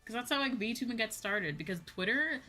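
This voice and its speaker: A woman's voice: a little high, expressive, fairly quick, sharp tone, soft background music. A woman streamer chatting casually to her livestream, with an exasperated, venting manner and some casual swearing. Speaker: VTuber streamer, host.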